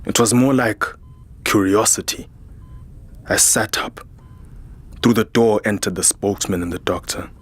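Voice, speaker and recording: Man playing low-voiced, grave soldier narrator. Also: unhurried, long pauses. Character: weary, defiant male human soldier narrating his ordeal. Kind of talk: first-person scripted audio drama narration.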